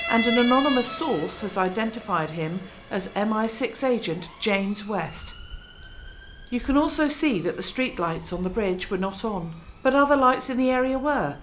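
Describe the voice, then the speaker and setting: A woman's voice: on the high side, slight background noise, natural voice. A woman playing a determined, earnest news reporter in a radio drama, with emphatic delivery. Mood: formal reporting.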